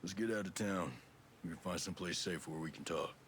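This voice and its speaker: An actor playing a calm special forces soldier in a video game, low-key, reassuring and businesslike. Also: male voice, a little fast, slight background noise. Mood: steady, direct. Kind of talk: video game cutscene acting.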